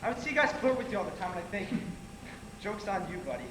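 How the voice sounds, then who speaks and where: Casting: male actor as wounded, earnest young man, high voice, stage drama; echoey, noisy theater capture. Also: sing-song, fast talker. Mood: bitter, wounded. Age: young adult. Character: hurt, earnest young man betrayed by friends. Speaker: stage actor in a one-act play. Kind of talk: amateur stage acting, projected dialogue. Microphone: distant audience camera.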